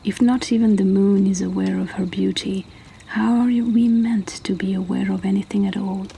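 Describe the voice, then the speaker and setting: A woman hosting a poetry reading, speaking in a soft, hushed whisper throughout. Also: mid-range voice, fairly even intonation, moderate pace, creaky, a little hiss or hum, poor audio. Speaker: host reading poems. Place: indoors by a fire.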